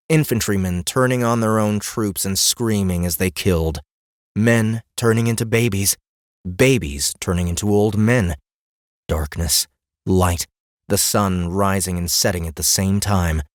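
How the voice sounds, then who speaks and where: Deep-voiced man narrating fantasy. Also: no echo, studio quality. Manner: deliberate dramatic phrasing with pauses. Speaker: audiobook narrator. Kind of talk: dramatic scripted fantasy narration. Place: dry recording studio.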